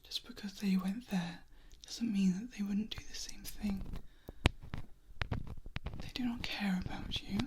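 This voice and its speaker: A woman voicing a possessive female vampire in an ASMR roleplay, speaking in a soft breathy whisper with a formal, gently scolding manner. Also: mid-range voice, fairly even intonation, unhurried, long pauses, background noise, lightly acted. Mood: disdainful, soft. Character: possessive female vampire, scornful of humans, doting.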